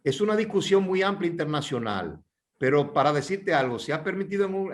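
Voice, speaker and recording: A man with a somewhat high voice takes part in a panel discussion. His talk is spontaneous and unscripted.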